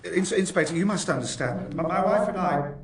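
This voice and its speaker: A flustered, evasive Englishman in a radio drama. The voice is fairly high and hesitant, stammering through excuses. It sounds distant in a roomy space. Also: fairly quick, gravelly, a little hiss or hum. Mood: anxious. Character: anxious, evasive man pleading with police.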